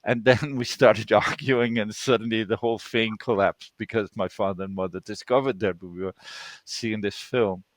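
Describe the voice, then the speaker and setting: A man's voice: lowish voice, steady tone, moderate pace, dry recording. Older Danish-accented man telling stories, dry sound. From Denmark. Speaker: guest storyteller. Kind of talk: conversational personal storytelling. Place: online video call.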